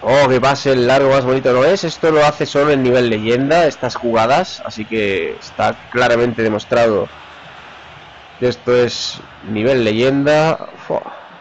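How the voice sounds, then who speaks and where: A man's voice: mid-range voice, muffled recording, a little hiss or hum. Spaniard calling his football game live. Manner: excited, rapid name-calling of players. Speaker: gamer commentating his own match. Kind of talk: live play-by-play commentary.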